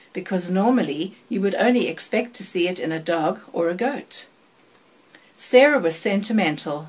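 An older woman from South Africa with a South African accent tinged by British and American sounds, reading a passage and then recalling her life in a measured, even way with thoughtful pauses. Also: medium pitch, husky. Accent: South African, mixed with British and American. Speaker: accent archive speaker.

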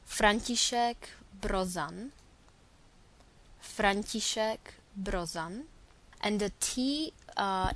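Slovak-accented woman, young adult, unhurried, says every name twice. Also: mid-range voice, a bit bright. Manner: careful, slow, repeats each word twice. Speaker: dialect archive speaker. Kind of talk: reading names aloud for a pronunciation recording.

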